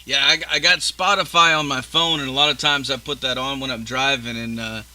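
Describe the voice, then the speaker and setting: A man's voice: mid-range voice, steady tone, brisk, sharp tone. A man in his early forties hosting a casual interview, easygoing and swearing freely as he chats. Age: 40s. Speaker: podcast host.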